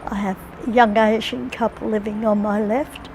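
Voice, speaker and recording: A woman's voice: medium pitch, little pitch movement, moderate pace, a little mellow, slight background noise. An older woman giving a plain personal account as an interviewee.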